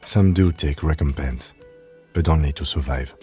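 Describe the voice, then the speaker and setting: An actor playing a calm, measured police lieutenant in a video game, composed and polite, with a dark, husky voice. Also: male voice, very deep voice, soft background music, exaggerated voice.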